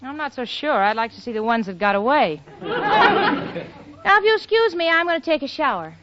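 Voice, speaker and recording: A woman's voice: sits high, very animated, moderate pace, over a noisy crowd, heavy background noise. An actress playing a sensible, wry young woman who scolds her friend, in 1940s radio sitcom acting. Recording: dull vintage radio with audience.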